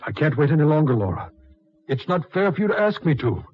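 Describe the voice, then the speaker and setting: A husky, possessive suitor. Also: male voice, on the high side, sing-song, quick pace. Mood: impassioned pleading. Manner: impassioned, overwrought, forceful pleading. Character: ardent, possessive suitor of a widow, insistent. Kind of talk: 1940s radio acting. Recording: muffled 1940s radio recording.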